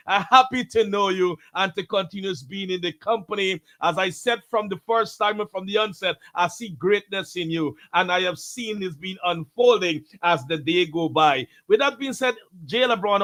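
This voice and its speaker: A man's voice: high voice, a bit bright. A man from Guyana, a pastor hosting a live talk show, welcoming listeners in a preacher-like, repeating style.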